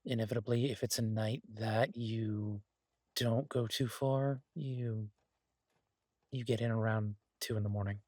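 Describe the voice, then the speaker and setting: A man playing an easygoing, affectionate chef in an intimate boyfriend roleplay audio, talking in a husky, halting, teasing way to a partner. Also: lowish voice, steady tone, a little mellow, dry recording, clean studio sound. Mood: tender, teasing. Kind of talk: intimate boyfriend roleplay audio, casual one-sided chat.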